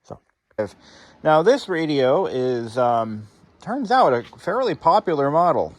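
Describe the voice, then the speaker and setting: A man's voice: on the high side, big pitch swings, measured pace. A man hosting a hobby repair channel, talking casually to viewers in a rambling, wry way with sarcastic asides.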